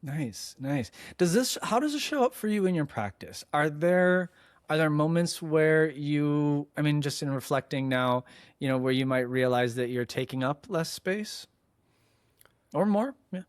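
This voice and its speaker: A man's voice: fairly high pitch, expressive, unhurried, dry recording, clean studio sound. A man co-hosting a podcast, asking questions in a casual, conversational and affirming way. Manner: inquisitive, affirming listener. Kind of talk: casual conversational interview chat.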